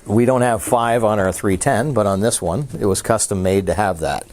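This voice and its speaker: A man with a low, warm and mellow voice presents a piece of audio equipment in a casual demo. He explains each switch and feature as he goes, with an easy, enthusiastic manner. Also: normal speed, a little hiss or hum. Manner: enthusiastic, explains features while handling gear. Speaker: audio dealer presenting a product.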